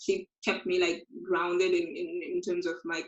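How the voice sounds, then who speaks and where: A woman's voice: on the low side, monotone, moderate pace, roomy sound, highs cut off. A woman from Congo, a guest language teacher, talking casually on a video call, hesitant and often repeating her words.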